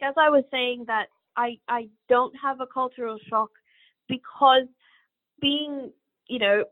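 A woman from Bangladesh, a podcast guest, answering interview questions in an earnest, reflective way as she thinks aloud. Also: a little high. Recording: phone-like narrow line.

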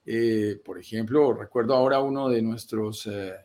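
A man's voice: fairly low pitch, a little mellow. Persuasive man giving investment advice. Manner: measured and persuasive, speaks in lists. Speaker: real estate investment advisor. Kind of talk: explanatory live-stream talk.

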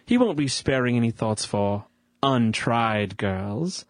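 An actor playing a suave, predatory duke in a period radio drama, condescending and full of oily mock gallantry. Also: male voice, medium pitch, lively intonation, takes it slowly, dry recording. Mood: coaxing, menacing. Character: suave, predatory, smug English duke, a lecherous villain.

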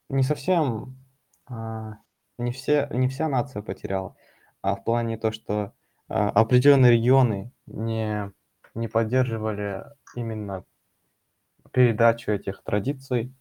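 Young man, casual guest, hesitant and thinking aloud; lowish, mellow voice. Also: fairly even intonation, a little slow, long pauses. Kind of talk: casual discussion.

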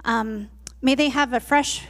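A woman's voice: fairly high pitch, fairly even intonation, unhurried. A woman pastor giving church announcements and a prayer, earnest and a little hesitant.

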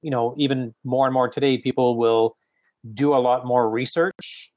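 A man with a slight French accent, a real estate agent talking through his email follow-ups, halting and often restarting his sentences. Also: medium pitch, fairly even intonation, darkish tone. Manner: halting, restarts often, many "you know"s. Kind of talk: casual coaching conversation.

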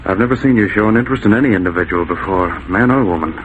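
A steady, decent Mountie voiced by a man with a fairly low pitch and measured, earnest delivery. It is 1940s radio acting, slightly dulled with faint noise. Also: close to an everyday voice. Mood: calm, probing. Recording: dull, faintly noisy vintage radio.

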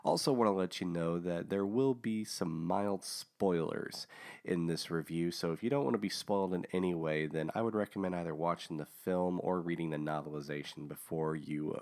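A man hosting a casual book review, talking with many fillers and restarts, his voice a little hoarse from a recent illness. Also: low-pitched, moderate pace, a little mellow. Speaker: host of a book review show.

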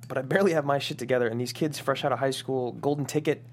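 A man's voice: mid-range voice, steady tone, quick pace, a little hiss or hum, close to an everyday voice. A man playing a stressed, foul-mouthed manager of a young gaming team at a comedy table read, exasperated and blustering. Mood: weary, confessional.

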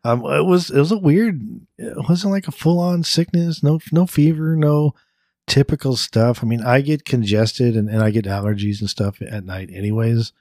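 A young man co-hosting a podcast in casual chat, speaking in a deliberate, low-key way with pauses between thoughts, a little congested and raspy from a recent illness. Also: mellow, no echo, clean studio sound. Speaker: podcast co-host.

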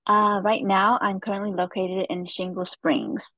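A young woman from California telling her life story as a podcast guest, soft-spoken and hesitant with many pauses. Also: mid-range voice, flat delivery, no room sound. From Stockton, California.

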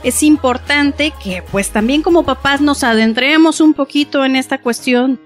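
A woman's voice: high-pitched, normal speed, soft background music. A woman presenting advice for parents in a plain, explanatory way, dotted with filler words. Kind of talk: informational talk.

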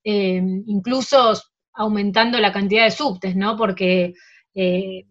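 Woman, Argentine accent, halting interview answers, frequent fillers, muffled lo-fi recording. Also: medium pitch, steady tone. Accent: Argentine Spanish. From France. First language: Spanish. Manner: hesitant, many fillers and restarts. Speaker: table tennis player, interview guest. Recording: dull lo-fi sound.

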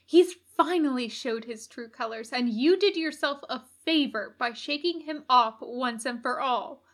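A woman's voice: high voice, unhurried, fairly bright tone. A woman voicing a heartbroken male angel who scolds himself resolutely in an audiobook reading. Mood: bitter self-reproach.

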